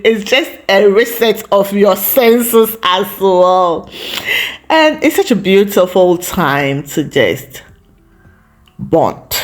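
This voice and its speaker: A woman hosting a lifestyle vlog, talking casually to viewers in a reflective, encouraging way with short pauses between phrases. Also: medium pitch.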